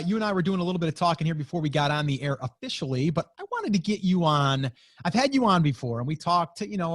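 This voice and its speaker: A man's voice: fairly high pitch, no room sound, clean studio sound. A man hosting a podcast in a casual, conversational style, chatty and informal with repeated phrases. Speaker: podcast host.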